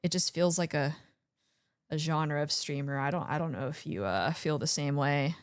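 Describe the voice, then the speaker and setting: A woman with a fairly low voice talks in a casual, chatty way. She hosts an informal streamed interview. Her sound is dry, with very little room to it. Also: normal speed, dry recording. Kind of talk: casual chat.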